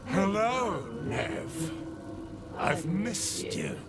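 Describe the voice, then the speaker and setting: A man voicing a menacing, zealous cult blood mage speaking through a possessed body, grandiose and deliberate in a dramatic video game. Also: sits high, sing-song, gravelly, full character voice. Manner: grandiose, theatrical, slow and deliberate. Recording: loud music under the voice.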